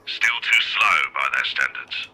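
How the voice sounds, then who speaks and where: An actor playing a gruff, stern older police chief in a game, blunt and authoritative, through a phone filter. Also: male voice, sits low, very animated, a little slow, sharp tone, heavily acted. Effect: phone call filter. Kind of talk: visual novel voice acting.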